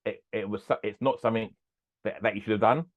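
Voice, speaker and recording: A man's voice: lowish voice, steady tone, fast. An older man, a business owner and podcast guest, talking earnestly in a casual interview and repeating his phrases. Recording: tinny phone-like line.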